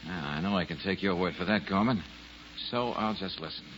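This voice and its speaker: An actor playing a wisecracking, unflappable private detective in a 1940s radio drama, with a breezy, sardonic, slangy delivery. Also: male voice, low-pitched, a little fast, a bit bright. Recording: muffled, noisy old radio recording.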